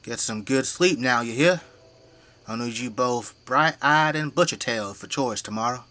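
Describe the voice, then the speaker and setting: A man's voice: medium pitch, moderate pace, bright, forceful tone, close to an everyday voice. Folksy, jovial Midwestern family man, played by an actor. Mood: warm, teasing. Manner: folksy, teasing, joking. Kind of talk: audio drama acting.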